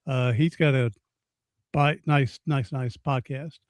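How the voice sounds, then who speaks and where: A man's voice: mid-range voice, steady tone, slow, no echo. A man in his sixties hosting a podcast interview, folksy and rambling, often repeating himself. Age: 60s. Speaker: podcast host. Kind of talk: casual interview chat.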